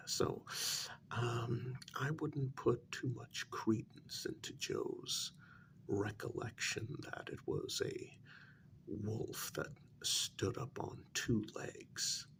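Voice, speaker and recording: A man playing an evasive, deferential worker in a soft ASMR role-play, speaking hesitantly and politely in a breathy half-whisper. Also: mid-range voice, a little hiss or hum, full character voice. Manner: hesitant, polite, gossipy while feigning reluctance. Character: evasive, deferential male worker smoothing things over with his boss.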